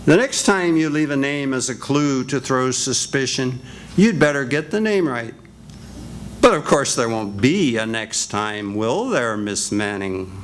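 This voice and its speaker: A man playing a stern male detective in a live radio drama, delivering a slow, measured accusation. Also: medium pitch, lively intonation, lightly acted. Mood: accusing. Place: senior center stage.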